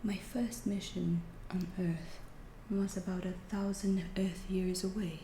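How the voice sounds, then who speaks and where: Woman playing a slow, whispering alien abductor. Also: medium pitch, fairly even intonation, roomy sound, a little hiss or hum, close to an everyday voice. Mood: curious, hushed. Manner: hushed, slow, deliberate, clinical phrasing. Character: calm, curious female alien abductor studying humans. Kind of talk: whispered ASMR roleplay.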